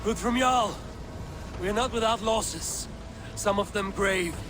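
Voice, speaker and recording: A man playing a steadfast, solemn Viking warrior leader in a video game, speaking gravely and with command. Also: sits high, unhurried, over music. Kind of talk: dramatic video game acting. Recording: battle and ambient noise behind.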